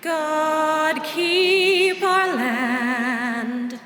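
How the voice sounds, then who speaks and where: A middle-aged woman soloist singing the national anthem a cappella at a podium microphone, slow and sustained. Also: sits high, bright, forceful tone, soft background music. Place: outdoor university plaza at a ceremony. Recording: echoey outdoor PA with long reverb.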